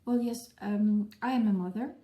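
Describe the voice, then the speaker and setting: A woman's voice: medium pitch, moderate pace, dull audio. A woman from Denmark, a fantasy writer talking in a friendly, earnest way in her living room. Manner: friendly, earnest, explaining plainly. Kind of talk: scripted conversation. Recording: echoey room.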